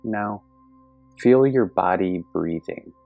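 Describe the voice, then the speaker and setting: Very deep-voiced man guiding meditation. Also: creaky. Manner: gentle, calm, measured instruction with long pauses. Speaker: meditation guide. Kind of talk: guided meditation narration. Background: soft meditation music with singing bowl tones.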